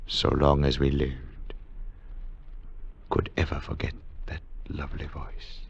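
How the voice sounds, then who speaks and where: Grave male adventurer-narrator, vintage radio drama: very low, deliberate, dramatic. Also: completely flat delivery, gravelly, background noise, exaggerated voice. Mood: mournful. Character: grave male adventurer recounting a strange tale. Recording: dull old 1940s radio recording with music.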